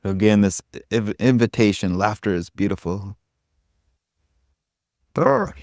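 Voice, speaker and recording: A man's voice: fairly low pitch, a little slow, darkish tone, no room sound, studio quality. A man giving an informal spiritual talk to a group as a meditation teacher, rambling and irreverent, with casual, self-deprecating humor. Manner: irreverent, casual, frequent swearing.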